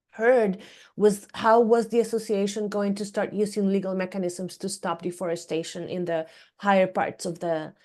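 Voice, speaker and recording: A woman with a Costa Rican Spanish accent, explaining her points in a measured, reflective way as an academic panelist. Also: mid-range voice, warm tone. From Costa Rica.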